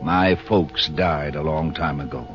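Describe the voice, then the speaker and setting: A man's voice: rumbling low, unhurried, music in the background. An actor playing a grumpy but sentimental old man who grumbles in slangy city tough talk, in 1940s radio style. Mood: wistful. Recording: dull old radio recording.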